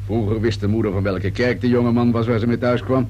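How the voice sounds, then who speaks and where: A man acting as a wry police inspector in a radio play. He muses and questions politely, shrewd beneath the affability. The recording has audible noise and hum behind his medium-pitched voice. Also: steady tone, a little mellow, dull audio, natural voice. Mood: polite, probing. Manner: musing, unhurried questioning with a polite, probing edge.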